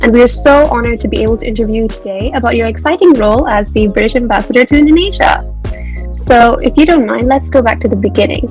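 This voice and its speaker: Young woman student interviewer, fairly high voice, polite and formal questions, soft music underneath. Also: quick pace. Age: young adult. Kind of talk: reading prepared interview questions.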